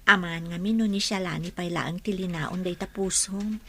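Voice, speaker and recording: A woman with an Ilocano accent playing a doubting, lovestruck young woman in a radio drama, soft and coy. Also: fairly low pitch, fairly even intonation, moderate pace, a little hiss or hum.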